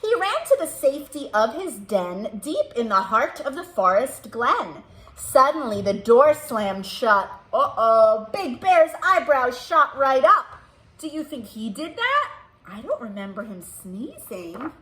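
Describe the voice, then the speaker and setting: Animated, high-voiced American woman hosting storytime. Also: measured pace, low-quality recording. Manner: animated, encouraging, talks to small children with many questions. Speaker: children's librarian and storytime host. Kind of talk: children's storytime read-aloud and chat.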